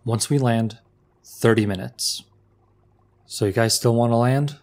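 A man's voice: medium pitch, unhurried, darkish tone, natural voice. A man playing a cautious, decisive spaceship crew leader in a sci-fi audio drama, giving terse commands. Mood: cautious, commanding.

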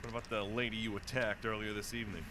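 An actor playing a tense police officer in an audio drama, giving clipped, urgent commands and reports. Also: male voice, fairly low pitch, level tone, racing, very noisy, close to an everyday voice. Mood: stern, wary.

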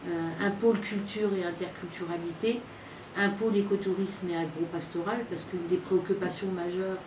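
Middle-aged woman, association representative presenting informally; slightly low voice, heard off in an echoey room. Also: fairly even intonation, normal speed. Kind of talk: informal spoken presentation at a partnership signing. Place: small indoor meeting room with a group standing behind. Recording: echoey, noisy room, distant microphone.

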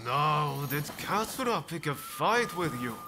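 An actor playing a jovial young ronin in a video game, with a relaxed, teasing manner. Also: male voice, fairly high pitch, measured pace. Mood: amused. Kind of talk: video game cutscene acting. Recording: music playing behind the voice.

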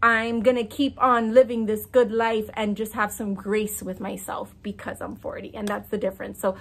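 A woman's voice: mid-range voice, little pitch movement, normal speed. A woman in her forties chatting frankly to camera as a fitness coach, talking with her hands on an outdoor patio. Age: 40s. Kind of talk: casual chat to camera.

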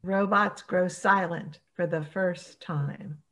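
A woman's voice: fairly low pitch, steady tone, slow pace, a bit bright. A woman playing a grave radio play announcer, reading scripted stage directions. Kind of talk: scripted narration.

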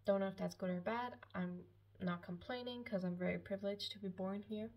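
A woman's voice: medium pitch, a little fast, highs cut off. A seventeen-year-old woman from Austria, a German speaker, chatting casually to camera in a hesitant, rambling, self-conscious way. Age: 17, late teens. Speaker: YouTube vlogger. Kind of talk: casual chat to camera, personal Q&A. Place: small lived-in room at home, indoors.

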